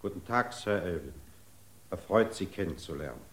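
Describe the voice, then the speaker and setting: Very deep-voiced, measured government investigator. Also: male voice, expressive, fairly bright tone, slightly rough, full character voice. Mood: polite, reserved. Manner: deliberate, formal, polite. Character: measured, courteous, resolute male government investigator from London. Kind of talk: 1950s German radio drama acting.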